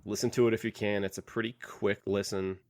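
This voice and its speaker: Podcast host, male, on the low side, dry close sound, halting and conversational. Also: flat delivery, darkish tone, no room sound. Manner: rambling, halting, thinking aloud. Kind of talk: casual book club podcast chat.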